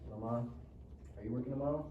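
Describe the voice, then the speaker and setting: A man's voice: medium pitch, fast, highs cut off, natural voice. A man playing a sullen, defiant Mexican-American teenage boy, grumbling and slangy, at a live script reading. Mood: defensive. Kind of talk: live script table read. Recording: roomy distant mic, faint noise.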